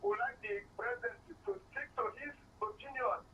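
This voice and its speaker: A man's voice: very high voice, big pitch swings, normal speed, sharp tone. A man with a Nigerian accent phoning a radio programme to give his opinion in a hesitant, broken delivery. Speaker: phone-in caller. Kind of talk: giving opinion on air. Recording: tinny, distant telephone line.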